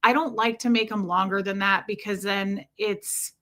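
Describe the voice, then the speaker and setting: Female streamer, bright and forward tone, chatty and warm, lots of filler words. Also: mid-range voice, fairly even intonation, normal speed. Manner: chatty and warm toward viewers, many fillers. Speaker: livestream host. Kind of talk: casual livestream chat, answering viewer comments.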